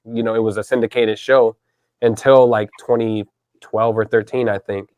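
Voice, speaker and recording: A man's voice: lowish voice, flat delivery, moderate pace, a little mellow, no room sound. Male podcast host, 30s, chatty and enthusiastic, dry close sound. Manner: enthusiastic, says dude and man a lot. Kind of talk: casual interview chat.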